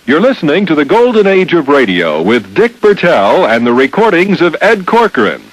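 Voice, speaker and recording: A man's voice: a little high, wildly swooping pitch, normal speed, no room sound, low-quality recording. An American man reading a scripted radio program announcement in vintage broadcast style.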